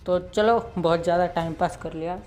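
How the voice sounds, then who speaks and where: A teenage boy with a sore, slightly hoarse throat, talking casually to his viewers and retelling a cartoon plot. He leans on chatty fillers as he goes. Faint music plays underneath. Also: sits high, steady tone, a little fast, a little mellow. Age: late teens. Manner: chatty, full of "matlab" fillers. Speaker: YouTube channel host. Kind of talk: casual cartoon episode recap.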